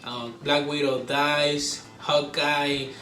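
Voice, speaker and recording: A man giving a casual, excited fan review to camera, rambling informally and repeating himself. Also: fairly high pitch, takes it slowly. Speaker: video creator reviewing a film. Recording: roomy echo, distant mic, faint noise.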